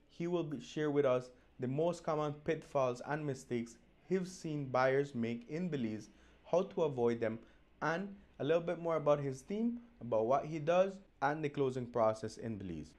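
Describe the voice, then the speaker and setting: A man's voice: on the high side, darkish tone. A man speaking casually as a video host and interviewer.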